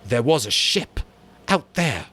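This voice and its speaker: A man voicing a young boy chief who is bitter and angry, speaking in a loud, accusing voice. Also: mid-range voice, big pitch swings, slow, voice pushed up, heavily acted.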